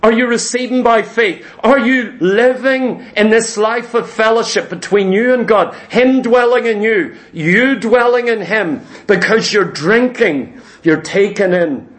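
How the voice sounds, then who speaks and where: A man with a notably high voice delivers a church sermon. He exhorts his listeners, putting questions to them and repeating phrases for emphasis, and acts out short imagined exchanges. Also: measured pace, fairly bright tone. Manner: dramatizes imagined dialogue. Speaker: preacher.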